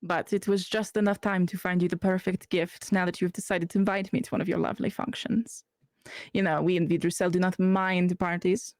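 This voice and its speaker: A woman's voice: fairly low pitch, flat delivery, no echo, clean studio sound, close to an everyday voice. Woman putting on a suave ancient shadow being, Russian accent. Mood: courtly. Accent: put-on Russian. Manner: formal, measured, dryly courteous.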